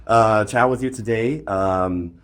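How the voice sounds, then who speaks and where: A man with a fairly low voice conducts a casual interview. He sounds nervous, talking in a halting way. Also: over a noisy crowd.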